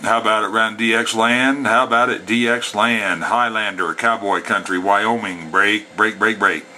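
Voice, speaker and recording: A man's voice: lowish voice, fairly even intonation, normal speed. A man operating a CB radio, speaking in a folksy way with CB slang, between radio calls and casual explanation. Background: CB radio static and chatter.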